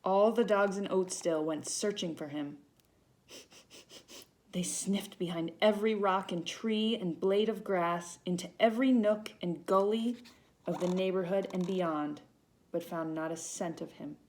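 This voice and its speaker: A woman volunteer reads a children's picture book aloud in an expressive, storytelling manner. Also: fairly low pitch, measured pace. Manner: dramatic emphasis on feelings, with short asides to young listeners.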